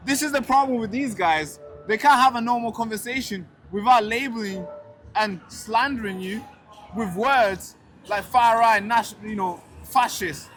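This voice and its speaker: Indignant high-voiced young man on the street. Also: bright, forceful tone. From Tanzania. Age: young adult. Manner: indignant, emphatic, repeats words when heated. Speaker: street interviewer and online show host. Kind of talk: casual street talk and direct-to-camera rant. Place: outdoors on a city street. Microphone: handheld camera mic.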